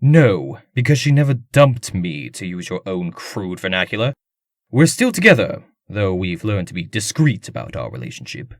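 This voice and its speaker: A man's voice: deep voice, huge pitch swings, normal speed, no room sound, clean studio sound, heavily acted. An actor playing a haughty, wealthy nobleman in a comic dub, speaking in a formal and pompous manner.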